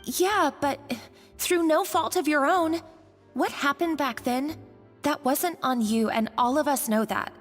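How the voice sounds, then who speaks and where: A flustered young cook, high-pitched and stammering, always on the verge of tears. Her apologetic voice sits in echoey game audio with faint music beneath. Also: a little slow. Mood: earnest. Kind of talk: video game voice acting.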